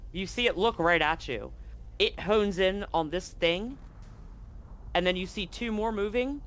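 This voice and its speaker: A man with a slightly raised pitch guides his players through a grim tabletop scene, pacing each description slowly and deliberately. He often stops and restarts mid-sentence, with faint music underneath. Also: a bit bright. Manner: slow, deliberate scene-setting with frequent restarts. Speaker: game master. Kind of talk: narrating a tabletop horror game.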